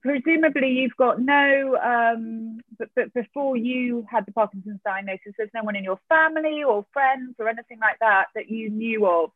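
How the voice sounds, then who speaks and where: A woman's voice: on the high side, moderate pace, few pauses, no room sound. A woman hosting a podcast interview, encouraging and chatty, with hesitant fillers. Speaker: podcast host. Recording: tinny phone-like line, dry.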